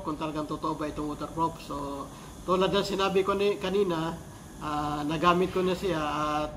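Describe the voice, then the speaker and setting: A man giving a casual vlog-style gear review in a halting, explanatory way, repeating key words. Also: on the high side, bright, forceful tone. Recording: clearly noisy amateur recording.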